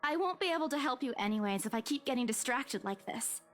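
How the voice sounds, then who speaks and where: Young game heroine, very high voice, swooping intonation, flustered, hesitant, stubborn, easily hurt. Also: female voice, expressive, quick pace, soft background music. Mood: resigned. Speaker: visual novel protagonist. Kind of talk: dramatic game voice acting.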